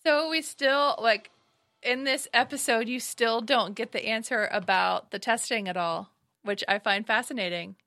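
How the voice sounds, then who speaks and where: A woman co-hosting a fan podcast, recapping an episode in casual chat with quick, matter-of-fact remarks. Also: fairly high pitch, sharp tone, no echo, clean studio sound. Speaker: podcast co-host.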